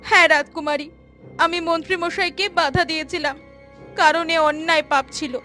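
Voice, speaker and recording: A woman plays a kind young forest girl from a cartoon tale, her voice very high and girlish. She speaks earnestly and politely, with faint music behind her. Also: fairly even intonation, normal speed, sharp tone. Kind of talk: animated moral story voice acting.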